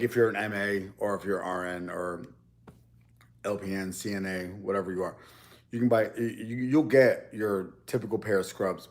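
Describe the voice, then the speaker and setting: A young man in a casual, rambling chat as he eats on camera, often restarting his sentences. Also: on the low side. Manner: rambling, loose, with many restarts. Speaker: mukbang YouTuber.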